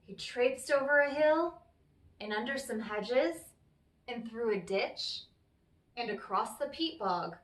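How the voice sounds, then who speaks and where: A woman narrates a children's tale at an unhurried pace with very animated intonation. Her expressive storytelling is recorded in a roomy space, away from the mic. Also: fairly high pitch. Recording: roomy, echoey, distant microphone.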